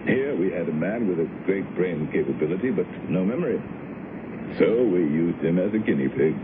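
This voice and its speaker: A very low-pitched scientist in an old radio play. He explains himself with measured, calm authority. The broadcast is muffled, with a clear layer of noise. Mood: assured, explaining. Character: authoritative male scientist, calmly justifying secret brain experiments. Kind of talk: 1970s radio drama acting.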